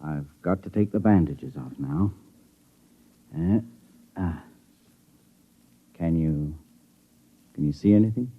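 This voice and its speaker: An actor playing a calm, grave ship's doctor who talks steadily and plainly in a 1950s radio drama. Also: male voice, low-pitched, slow, pauses often, slightly rough, exaggerated voice. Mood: grave, soothing. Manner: steady, plain-spoken bedside talk. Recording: muffled, phone-like old radio recording.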